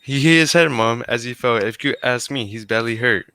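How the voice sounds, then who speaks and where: Man as a clear, bright-voiced working man. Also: medium pitch, moderate pace. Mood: concerned. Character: concerned, deferential working man in street crowd. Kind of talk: 1940s radio drama acting.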